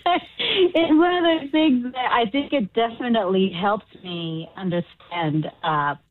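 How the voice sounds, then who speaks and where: Animated woman on a tinny phone line. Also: on the high side, a little slow, a little hiss or hum. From Chile. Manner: animated, laughs often, acts out quotes. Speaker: stage actor, guest. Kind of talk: casual interview chat.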